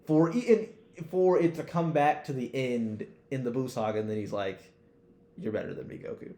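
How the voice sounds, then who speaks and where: A man's voice: mid-range voice, moderate pace, mellow. A man co-hosting a podcast, chatting casually about anime with lots of slang and heavy swearing. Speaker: podcast co-host. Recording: roomy, echoey sound.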